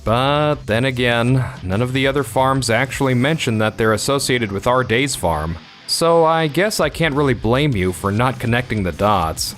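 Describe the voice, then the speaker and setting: Male actor as unassuming farmer, medium pitch, varied intonation, polite, earnest, manga dub. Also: lively intonation, normal speed, few pauses, fairly bright tone, soft background music, close to an everyday voice. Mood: calm, firm. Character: polite, unassuming farmer son, estranged from family. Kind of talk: manga dub narration and dialogue.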